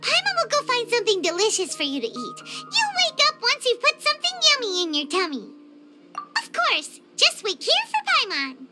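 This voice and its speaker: A woman voicing a chirpy, bossy tiny girl companion in a game, with a squeaky childlike voice who speaks of herself by name. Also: huge pitch swings, normal speed, heavily acted. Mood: fussing. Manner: fussy, talks of herself by name. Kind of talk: game cutscene dub. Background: game music.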